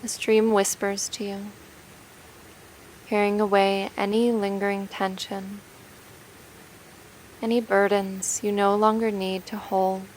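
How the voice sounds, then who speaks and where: A woman narrating a guided meditation in a gentle, soothing, unhurried way, leaving long pauses between phrases. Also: medium pitch, level tone, a little hiss or hum, low-quality recording.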